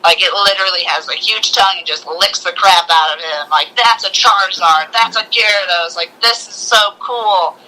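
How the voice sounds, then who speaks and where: Woman, movie show co-host, sharp bright voice, excitable, casual chat, acts out quotes. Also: on the low side, normal speed, hardly any pauses, poor audio. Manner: excitable, acts out little quotes as she talks. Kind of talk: casual animated movie discussion.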